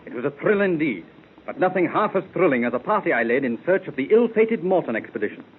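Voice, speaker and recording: A man plays a seasoned Arctic explorer captain, spinning a formal, measured yarn in a fairly high, lively voice. The sound is a thin, tinny 1930s radio transfer. A faint hiss runs under it. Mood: recounting. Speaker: club member telling his adventure. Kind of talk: 1930s radio drama acting.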